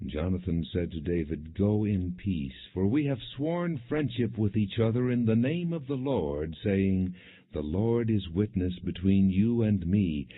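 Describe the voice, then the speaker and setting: Casting note: male audiobook narrator, deep and husky, measured scripture storytelling on thin, band-limited audio. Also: animated. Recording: muffled narrowband, phone-like audio.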